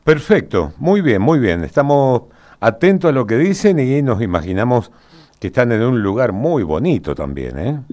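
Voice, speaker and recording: A man's voice: medium pitch, big pitch swings, normal speed, a little mellow. A man speaks as a studio radio announcer in live banter.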